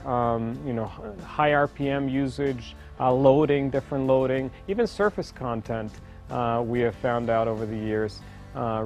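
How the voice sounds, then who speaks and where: A man's voice: medium pitch, a little mellow. A man explaining technical work in an unscripted interview, hesitant and searching for words. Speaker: engineer interviewed about product testing. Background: faint background music.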